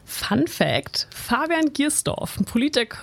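A woman's voice: high-pitched, sing-song, slow pace, vocal fry. A woman whose first language is Turkish, co-hosting a campus radio show in a casual, upbeat explaining manner.

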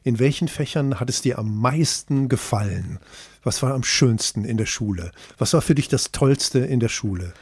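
A man's voice: medium pitch, expressive, moderate pace, breathy edge, no echo, clean studio sound. A man interviewing in German, encouraging and asking follow-up questions. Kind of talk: casual interview.

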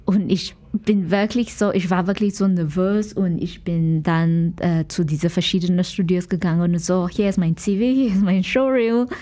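A woman's voice: fairly low pitch, steady tone, moderate pace, hardly any pauses, warm tone. A woman from Malaysia with a Malaysian accent answers conversationally as an interview guest, searching for words in a language she learned later, her native ones being English, Mandarin and Malay. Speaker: guest, dancer and dance teacher.

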